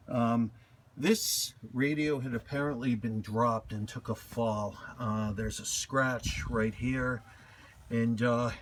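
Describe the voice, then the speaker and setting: A middle-aged man from North America giving a casual, halting, unscripted hands-on show-and-tell as a hobbyist, recorded on a handheld camera mic. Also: mid-range voice. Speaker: radio hobbyist presenting a find. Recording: faint background noise, handheld camera clips.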